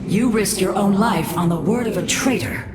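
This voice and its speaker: A woman's voice: medium pitch, normal speed, natural voice. A woman voicing a proud, skeptical alien matriarch in a video game cutscene, with a formal, stern and dramatic manner. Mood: reproachful.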